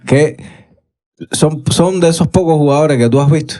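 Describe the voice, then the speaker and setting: A man's voice: fairly high pitch, moderate pace, dark tone. A man chats informally on a podcast. He speaks in short interjections with a joking, bantering manner. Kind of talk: casual banter in short remarks.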